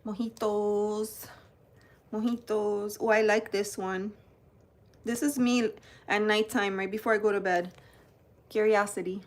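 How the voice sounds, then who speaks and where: A woman's voice: medium pitch, fairly even intonation. A woman from New Jersey, hosting a live-stream card unboxing and greeting viewers by name. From New Jersey, United States. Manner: chatty, greets viewers by name while showing cards; slow, unhurried delivery. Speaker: tarot channel host.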